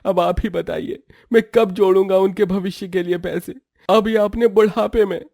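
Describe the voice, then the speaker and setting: A man's voice: sits high, steady tone, moderate pace, creaky, mellow, no room sound, studio quality, voice pushed up. A man voicing a humble, earnest cook and father in a moral story, deferential in manner. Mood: aggrieved pleading. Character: humble, pleading male cook, worried ageing father. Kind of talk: acted moral-story dialogue.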